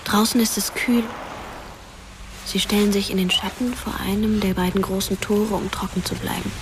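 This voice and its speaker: A woman playing a wistful, detached teenage ghost, narrating a radio play softly and in a hushed manner. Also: mid-range voice, fairly even intonation, normal speed, background noise, lightly acted.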